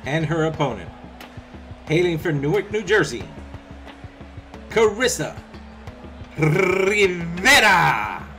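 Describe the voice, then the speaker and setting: A young man doing play-by-play commentary over a wrestling video game, chatty and casual between calls and getting excited on the big moves. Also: fairly high pitch, slow pace, long pauses, soft background music. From Puerto Rican background. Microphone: home microphone, voice-over on game footage.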